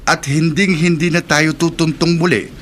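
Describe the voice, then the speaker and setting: A man's voice: on the high side, fairly even intonation, moderate pace, a bit bright, slight background noise, natural voice. A Filipino man acting in a horror radio drama as a practical, reassuring fisherman who owns a restaurant. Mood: insistent, pleading.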